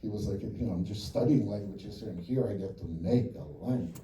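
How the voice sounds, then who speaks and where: A film director answering audience questions after a screening, thinking aloud with lots of fillers and restarts. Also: male voice, lowish voice, brisk, warm tone. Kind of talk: post-screening Q&A. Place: cinema auditorium. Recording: echoey theater from far off.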